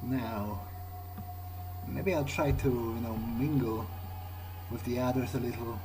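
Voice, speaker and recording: Slow, halting man thinking aloud. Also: medium pitch, noisy background. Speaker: player of a role-playing video game, speaking in character. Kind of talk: improvised in-character playthrough monologue.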